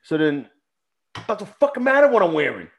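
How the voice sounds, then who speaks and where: A man's voice: high voice, a little fast, gravelly, slightly rough, dull audio. Swearing New storyteller man. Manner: animated storyteller, swears freely. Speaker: podcast co-host. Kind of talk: casual storytelling chat.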